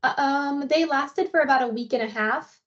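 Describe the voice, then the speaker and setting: A woman with a fairly high voice answers survey questions on a slightly dull, lo-fi call. She speaks conversationally, a bit hesitantly, as if working out each answer. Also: moderate pace. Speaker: patient answering a survey interview. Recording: dull, lo-fi phone call audio.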